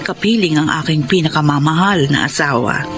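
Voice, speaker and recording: A woman voicing a gentle, loving middle-aged wife in a radio drama, narrating her own life with heartfelt warmth, with music underneath. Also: low-pitched, brisk, lightly acted. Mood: reflective.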